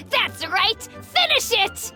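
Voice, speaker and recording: A woman's voice: very high-pitched, exaggerated voice. A woman voicing a smug, gloating little boy in a video game. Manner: gloating, bright and bouncy. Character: cocky little boy, smug beetle-fight champ.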